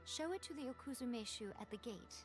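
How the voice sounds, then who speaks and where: A woman's voice: on the high side, animated, fast, over loud music, natural voice. A woman voicing a poised, teasing shrine priestess in a video game, formal yet playful. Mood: teasing, composed. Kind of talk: video game dialogue acting.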